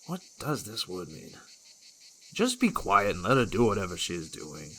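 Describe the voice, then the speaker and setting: Male actor as earnest archer hero, mid-pitched, very bright tone, animated, slightly bumbling, fantasy audio drama. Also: moderate pace, a little hiss or hum, heavily acted.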